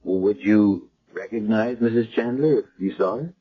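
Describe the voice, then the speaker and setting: An actor playing a middle-aged hospital chief of staff, brisk and condescending, questioning a patient in a businesslike way in a 1970s radio drama. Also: male voice, lowish voice. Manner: crisp, businesslike bedside questioning. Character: brisk, condescending male doctor in charge. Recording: dull old radio broadcast.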